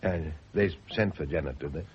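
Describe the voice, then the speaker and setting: Actor as evasive, low-voiced husband, noisy old recording. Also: male voice, brisk, dull audio, natural voice. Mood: guarded. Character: evasive, defensive husband, quick to blame others. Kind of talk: radio drama acting.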